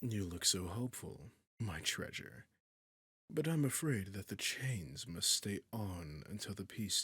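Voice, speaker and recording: A very low-voiced, slow-speaking dragon king, acted by a man, courtly yet menacing. His husky growl slips into breathy whispers, and every formal phrase lands as a possessive command in an intimate fantasy roleplay. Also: a bit bright, dry recording, clean studio sound, full character voice. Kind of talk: scripted fantasy audio roleplay addressed to the listener.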